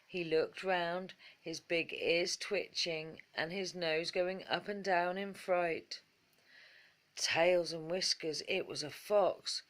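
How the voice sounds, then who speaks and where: A woman narrating a children's bedtime story in a measured, expressive storytelling manner. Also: lowish voice.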